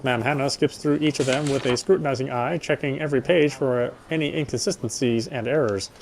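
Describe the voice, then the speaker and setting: A man with a medium-pitched voice reads story text from a game aloud. He is casual about it, drops in his own comments and now and then trips over a line and rereads it. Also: fairly even intonation, brisk, background noise. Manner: stumbles and rereads lines. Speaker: gamer reading a visual novel aloud. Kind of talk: reading game narration with casual asides.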